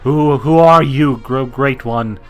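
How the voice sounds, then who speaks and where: A distraught, fanatically devout president acted by a man pleads in a raised, quavering voice. He stammers with fervour, in melodramatic radio-drama style, bright and strained in tone. Faint music sits underneath. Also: medium pitch, takes it slowly, pitched above the performer's own voice. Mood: frightened, quavering.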